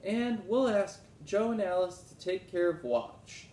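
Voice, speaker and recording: A man's voice: animated, unhurried, a little mellow. A man voicing a kindly, playful grandfather in a children's storybook. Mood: cheerful. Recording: roomy echo, low rumbling noise.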